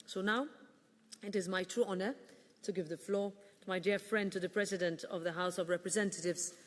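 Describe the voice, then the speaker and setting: A woman presiding over a parliament, giving an earnest, measured formal speech with occasional fillers. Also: mid-range voice, steady tone, long reverb tail. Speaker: parliament president opening a session. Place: large parliament chamber.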